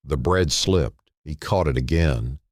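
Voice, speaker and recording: A man narrating a scripted dramatic story in short, clipped phrases with dramatic pauses. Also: a bass voice, darkish tone, no echo, studio quality.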